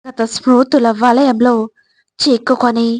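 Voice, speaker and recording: A woman reading scripted motivational narration in measured short phrases with frequent pauses. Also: fairly high pitch, level tone, a little mellow, dry recording. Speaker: narrator of motivational spiritual quotes.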